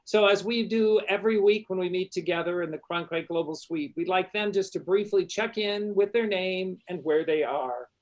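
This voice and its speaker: A man hosting an online graduation ceremony for a university journalism school, with a warm, upbeat, formal delivery. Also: high-pitched, moderate pace, hardly any pauses, rough recording. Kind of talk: formal welcoming address.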